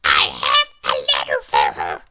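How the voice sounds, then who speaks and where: A woman voicing a small, polite, confused storybook man with a halting, puzzled manner. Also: rumbling low, fairly even intonation, vocal fry, noisy background, pitched below the performer's own voice, full character voice. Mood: confused, flustered.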